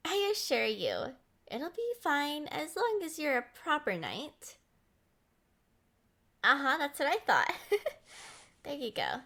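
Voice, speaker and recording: Woman voicing a sheltered young princess, very high pitch, animated swoops, playful, headstrong, expressive, roleplay audio drama. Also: full character voice. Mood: coy, pleased. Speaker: voice actor. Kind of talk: roleplay audio acting, ASMR-style.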